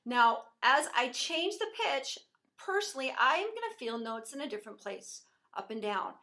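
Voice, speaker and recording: A woman voice teacher giving an encouraging singing lesson, explaining pitch and resonance as she demonstrates. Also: big pitch swings, normal speed, pushed and bright.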